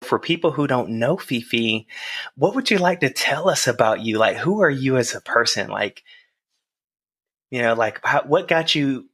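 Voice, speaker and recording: A man's voice: medium pitch, lively intonation, moderate pace, a bit bright, breathy edge. A man hosting a podcast, reading an ad and asking questions in a loose, casual way with lots of filler words. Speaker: podcast host.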